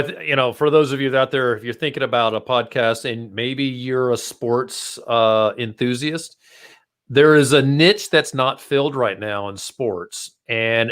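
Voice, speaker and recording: A man's voice: medium pitch, a bit bright, clean tone. A man hosting a casual marketing podcast, chatting informally and speaking straight to his listeners. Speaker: podcast host.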